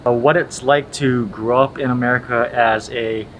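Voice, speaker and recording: A man's voice: medium pitch, fairly even intonation, moderate pace, a bit bright. A young man from Hawaii hosting a casual conversation in an easygoing manner, laughing often. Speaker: host. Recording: noisy background.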